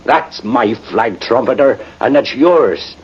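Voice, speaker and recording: An actor playing a proud, sentimental, tipsy Irish cavalry sergeant in a radio drama, rambling and halting with emphatic turns. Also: male voice, mid-range voice, lively intonation, husky, full character voice. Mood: fervent, sentimental. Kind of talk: 1950s radio drama acting. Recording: muffled, noisy vintage radio recording.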